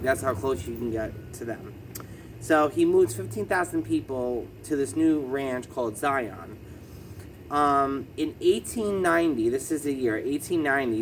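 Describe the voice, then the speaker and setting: Guy with a lisp, halting, lots of um, middle pitched, ac humming loud behind him indoors. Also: a little hiss or hum, rough recording. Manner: hesitant, many ums and self-corrections. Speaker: vlogger. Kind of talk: casual explanatory vlog.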